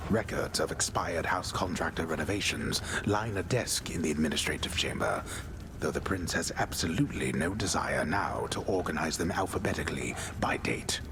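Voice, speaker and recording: A man voicing a grandiose old bard narrator who speaks in a hushed, ornate, mock-solemn way in a video game. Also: a bass voice, lively intonation, moderate pace, background noise. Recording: game audio with music behind.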